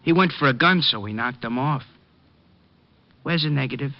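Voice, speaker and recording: A man's voice: medium pitch, moderate pace, close to an everyday voice. An actor playing a wisecracking hoodlum with slangy tough-guy talk in an old radio drama. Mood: menacing. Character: wisecracking male hoodlum, easygoing killer. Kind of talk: 1940s radio acting. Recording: dull lo-fi old radio recording.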